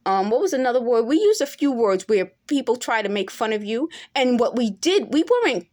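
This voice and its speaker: A woman's voice: fairly high pitch, very animated, a little fast, darkish tone. A woman in her forties talking straight to camera in an unscripted monologue, earnest and insistent, now and then rising to emphatic peaks. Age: 40s. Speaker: vlogger.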